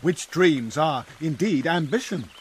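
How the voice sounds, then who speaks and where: An actor playing a cheery, bantering young courtier with a jaunty, courtly manner in a Shakespearean radio drama. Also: male voice, fairly high pitch, expressive, unhurried, a little hiss or hum.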